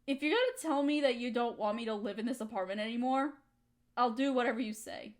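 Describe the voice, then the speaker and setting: A woman's voice: on the high side, animated, fast, lightly acted. A young woman acting a hurt, resentful friend in a dramatic audio roleplay, speaking in an emotional, accusing way. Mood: subdued, wary.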